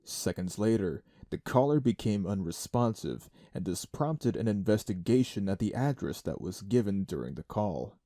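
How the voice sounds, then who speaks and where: Man as low, mellow news anchor. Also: expressive, no room sound, studio quality, close to an everyday voice. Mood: grave. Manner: measured, formal, serious. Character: grave male TV news anchor reporting disappearances. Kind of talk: scripted news narration.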